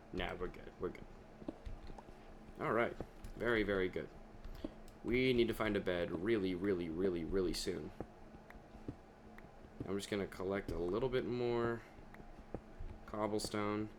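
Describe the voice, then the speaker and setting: A young man chatting casually over his gameplay, his voice deeper than usual and talking to himself as he plays. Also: a little hiss or hum. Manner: chatty, talks to himself while playing. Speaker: gaming video creator.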